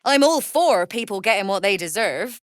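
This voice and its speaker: A woman's voice: mid-range voice, expressive, normal speed, no echo, studio quality, lightly acted. A woman playing a shrewd, worldly smuggler and trader in a video game, speaking in a sly, wry, businesslike manner. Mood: sly, pleased. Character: shrewd, worldly female smuggler-merchant of a criminal trade network. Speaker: trader and guild agent. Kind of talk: video game character dialogue.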